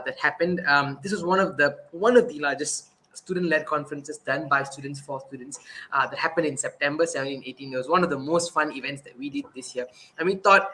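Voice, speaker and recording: A man's voice: fairly high pitch, pushed and bright, rough recording. A man hosting a casual online talk show, upbeat and laughing often, with frequent fillers and restarts. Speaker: host.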